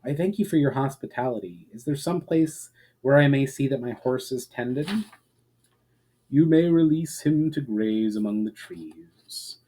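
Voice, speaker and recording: A man voicing an ancient male elf border guard in a storybook reading, courteous, wistful and weary, with formal, measured speech. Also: medium pitch, animated, warm tone, slightly rough, pitched above the performer's own voice. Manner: formal, measured, archaic phrasing.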